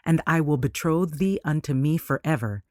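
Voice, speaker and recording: Higher-pitched man reading devotional lesson. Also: lively intonation, moderate pace, a little mellow, no echo, studio quality. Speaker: lesson reader.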